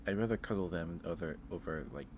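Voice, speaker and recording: A soft-spoken man hosting a casual ASMR stream, chatting with viewers and musing aloud in a hesitant way. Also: deep voice, quick pace, noisy background.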